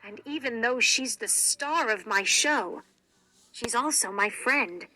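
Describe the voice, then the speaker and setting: A woman acts out a storybook circus owner, a man of formal, earnest manner. He speaks slowly and deliberately, with a bright, forceful tone in a dry, close recording. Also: a little high, expressive, dry recording, exaggerated voice, rough recording. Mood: solemn, sad. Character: circus owner, a man, showy announcer turned nervous and solemn.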